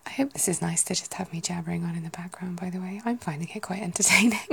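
A woman's voice: lowish voice, quick pace, vocal fry, fairly bright tone, breathy edge. A woman in her thirties with a British English accent, whispering casual ASMR chat and musing to herself. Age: 30s. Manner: whispering, musing, self-correcting.

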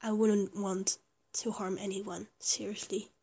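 A woman's voice: mid-range voice, level tone, unhurried, warm tone. A woman voicing a small, affectionate young lover who whispers, stammers and rambles between giggles. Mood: tender. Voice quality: breathy whisper. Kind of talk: whispered intimate roleplay audio.

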